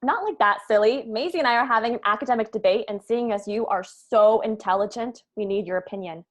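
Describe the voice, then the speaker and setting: A woman's voice: mid-range voice, a little fast, close to an everyday voice. A woman playing a brainy, earnest young college student in a comedy table read. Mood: eager.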